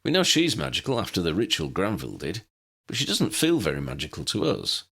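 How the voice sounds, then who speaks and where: A man's voice: low voice, huge pitch swings, fairly quick, vocal fry, bright, forceful tone, slightly rough, pitched below the performer's own voice, exaggerated voice. A man voicing a blunt, informal, puzzled young male friend in an audiobook.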